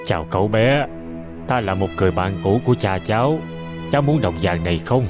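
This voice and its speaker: A man playing a sly, greedy, bullying stranger in a children's animated fairy tale. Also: lowish voice, brisk, music in the background, lightly acted. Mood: sly, coaxing.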